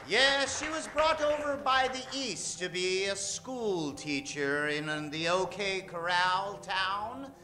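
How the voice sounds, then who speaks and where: High-pitched man announcing over music, slow and theatrical. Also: bright, forceful tone, a little hiss or hum. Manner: dramatic, emphatic delivery with drawn-out names. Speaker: announcer introducing a radio play cast. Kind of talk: theatrical old-time radio narration of a western. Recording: music behind the voice.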